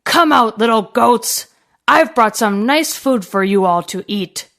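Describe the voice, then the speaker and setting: A woman's voice: a little high, animated, measured pace, a bit bright. A woman voicing a sly big bad male wolf in a storybook, coaxing with false friendliness. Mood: gloating.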